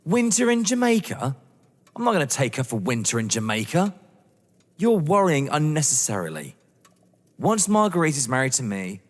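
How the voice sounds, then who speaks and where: A man's voice: medium pitch, big-room sound. A man playing a proud, guarded young lover in a theatrical audio drama, answering with dry retorts.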